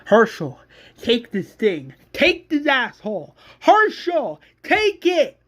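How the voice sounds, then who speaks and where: A storyteller voices an alarmed man in a horror tale, desperate to stop his friend. The pitch jumps far up into strained screams, with urgent pleas said over and over. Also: expressive, slow pace, darkish tone, heavily acted. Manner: urgent, repetitive pleading.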